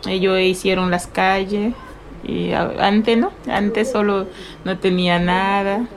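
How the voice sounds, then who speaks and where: A woman's voice: mid-range voice, fairly even intonation, takes it slowly, a crowd in the background. A Dominican woman in her forties with a Dominican Spanish accent, talking hesitantly in a casual radio interview, slipping into French now and then. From Dominican Republic. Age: 40s. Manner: hesitant, slips into French, laughs often.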